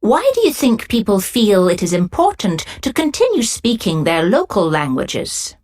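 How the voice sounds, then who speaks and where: A woman reading scripted speaking-test questions as an examiner, clear and evenly paced. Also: medium pitch, wildly swooping pitch, moderate pace, darkish tone.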